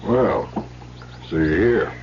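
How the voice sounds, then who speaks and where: An actor playing a gruff, awkward older ex-convict with an offhand, halting manner in an old-time radio drama. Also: male voice, sits low, lively intonation, full character voice. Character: gruff, aging ex-convict turned rich, awkward host. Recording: muffled, hissy old radio recording.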